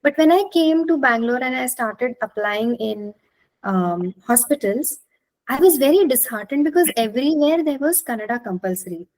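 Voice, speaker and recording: Higher-pitched Indian woman leading a talk. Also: expressive, moderate pace, a little mellow, rough recording. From Maharashtra, India. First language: Marathi. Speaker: speaker leading an interactive talk, clinical psychologist. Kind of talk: conversational online talk with slides and questions to participants. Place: online video call.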